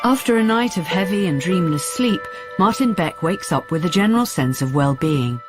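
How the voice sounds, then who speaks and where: A man's voice: high voice, animated, normal speed, music in the background. A man narrating a radio drama in a scripted storytelling style.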